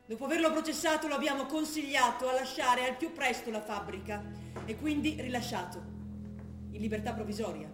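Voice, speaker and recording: An actress playing an earnest, ideologically convinced young revolutionary daughter in an insistent, declamatory stage style. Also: female voice, high voice, brisk, natural voice. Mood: solemn, militant. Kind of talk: stage drama acting, declaimed political proclamation. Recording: roomy stage sound with music behind.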